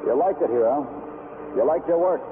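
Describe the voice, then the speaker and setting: An actor playing a terse, world-weary police detective in a vintage radio noir drama, deadpan and brooding. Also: male voice, fairly high pitch, fairly quick, background noise, close to an everyday voice. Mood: casual, probing. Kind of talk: 1950s radio noir acting and narration. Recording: old tinny radio recording, music behind.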